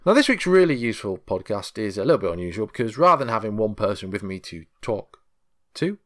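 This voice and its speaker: A man's voice: fairly low pitch, very animated, fast talker. A man presenting a podcast in a casual, chatty manner as its host.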